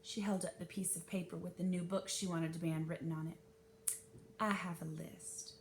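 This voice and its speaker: Woman reading aloud over rumbly noise. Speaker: read-aloud reader. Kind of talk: expressive narration of a children's novel. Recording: noisy with low rumble.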